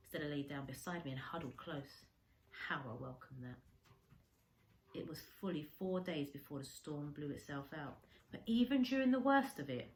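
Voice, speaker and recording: A woman's voice: low-pitched, a little hiss or hum, poor audio. A woman reading a children's story aloud like a teacher, expressively, with dramatic emphasis on descriptive words.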